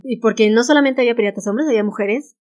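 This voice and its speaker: A woman's voice: a little high, fast, a little mellow. A woman with a Mexican Spanish accent co-hosting a casual history podcast, reading notes aloud and laughing easily. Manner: reads notes aloud, stumbles on English titles, laughs easily. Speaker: podcast co-host.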